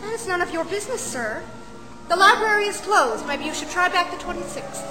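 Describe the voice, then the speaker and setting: A woman's voice: very high-pitched, expressive, brisk. A woman playing a wary young librarian who speaks firmly, by turns frightened and joyful. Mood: guarded. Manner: firm, then frightened screaming. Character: guarded young librarian, later joyful wife. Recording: echoey, music playing behind.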